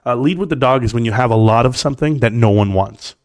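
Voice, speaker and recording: A man's voice: medium pitch, quick pace, dry recording, clean studio sound. A middle-aged man with a scratchy voice, giving a blunt, emphatic, opinionated stock rant to viewers on a livestream. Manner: blunt, emphatic, talks straight to the chat. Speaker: stock market live streamer.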